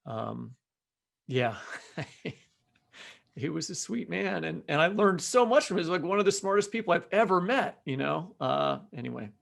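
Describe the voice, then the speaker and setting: An American man co-hosting a podcast, reminiscing casually in a halting, rambling, conversational way. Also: on the high side, sing-song, gravelly, fairly bright tone. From Kansas City, United States. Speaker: podcast co-host.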